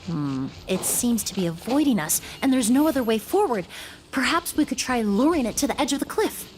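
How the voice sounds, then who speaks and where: An actress playing a composed young woman perfumer and investigator, speaking in a calm, measured, thoughtful way in a video game. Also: on the high side, slight background noise. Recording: game audio with faint music. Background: game music.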